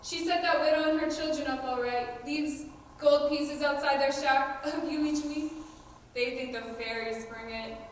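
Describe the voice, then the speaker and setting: A woman's voice: high-pitched, steady tone, moderate pace, close to an everyday voice. A teenage girl playing a blunt, loyal outlaw woman in a school stage play. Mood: impatient, amused. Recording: distant, echoey stage recording with noise.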